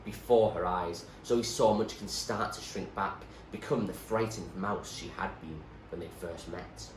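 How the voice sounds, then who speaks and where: A man's voice: sits low, fairly even intonation, unhurried. A man reading a story aloud as narrator, with a dramatic, emphatic delivery. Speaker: teacher reading a novel aloud. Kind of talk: scripted story narration, read expressively. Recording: roomy echo, faint background noise.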